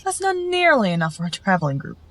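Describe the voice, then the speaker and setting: A woman's voice: medium pitch, sing-song, quick pace, a bit bright, slight background noise. A woman playing a grumpy, stubborn animal herbalist in an audio drama, blunt and sardonic. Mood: irritated, sarcastic.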